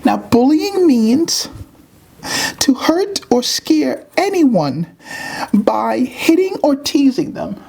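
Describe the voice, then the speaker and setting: Very high-pitched little girl puppet. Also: very animated, takes it slowly, creaky, mellow, breathy edge, heavily acted. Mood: earnest. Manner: theatrical, repetitive, emphatic delivery. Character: little pre-K girl puppet, earnest, bullied, dramatic. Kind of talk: puppet show lesson for young children.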